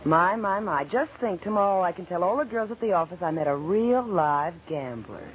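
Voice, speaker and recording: A woman's voice: fairly low pitch, expressive, close to an everyday voice. A woman acting a guarded young office girl, tinny radio. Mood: sassy. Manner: sassy, guarded, quick with retorts. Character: young office girl, sharp-tongued but naive, wary of a gambler. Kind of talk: 1940s radio drama acting. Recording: tinny, noisy vintage radio recording.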